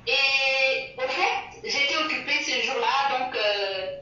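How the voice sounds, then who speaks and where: A woman's voice: sits high, slow pace, bright, forceful tone. A woman from the Republic, speaking as a guest in a casual interview and often hesitating and repeating herself as she searches for words. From Central African Republic. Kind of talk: casual interview talk, moral advice. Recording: echoey, distant, dull lo-fi sound.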